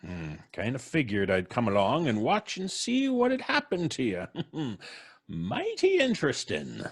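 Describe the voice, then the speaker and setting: Husky, drawling old country man. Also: medium pitch, huge pitch swings, a little slow, full character voice. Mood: gloating. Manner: drawling, chuckling rural dialect. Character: folksy, gloating old country man, coolly merciless. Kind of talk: old-time radio drama acting.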